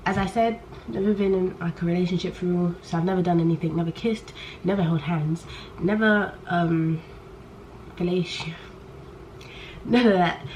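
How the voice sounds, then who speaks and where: A young woman in her late teens, chatting candidly to camera in a rambling way. She talks loudly on purpose and keeps trailing off and restarting her sentences. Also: lowish voice, fairly even intonation, moderate pace. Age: young adult. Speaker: vlogger. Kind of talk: casual chat to camera. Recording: poor audio with faint background noise.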